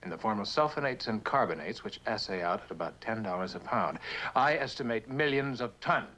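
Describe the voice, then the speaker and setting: A proud mineral prospector in an old TV drama. His voice sits fairly low, and he bargains bluntly and indignantly, with a bitter streak. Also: lively intonation, brisk, sharp tone, slight background noise. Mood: businesslike. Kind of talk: mid-century American television drama acting.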